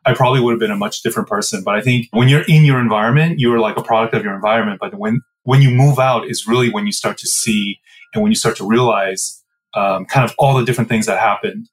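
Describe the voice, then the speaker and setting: A man's voice: on the low side, quick pace, low-quality recording. A man in his forties from the Midwest, telling his story in an interview with a reflective, meandering manner full of fillers. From Midwestern United States. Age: 40s. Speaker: guest, business co-founder. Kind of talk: casual interview answers.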